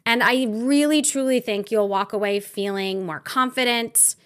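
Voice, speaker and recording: A woman with a fairly high voice hosts a podcast. She speaks in an upbeat, encouraging way, guiding the conversation. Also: moderate pace, clean tone. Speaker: podcast host. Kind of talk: podcast hosting and interviewing.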